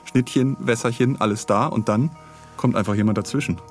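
A man's voice: mid-range voice, brisk, soft background music. A man hosting a podcast, retelling things in a casual, humorous, chatty way. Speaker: podcast host.

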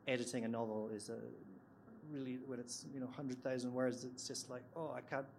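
A man with a Scottish accent, speaking as a screenwriter on a live panel discussion, relaxed and a little hesitant. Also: mid-range voice, brisk, a little hiss or hum. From Scotland. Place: event venue, live audience.

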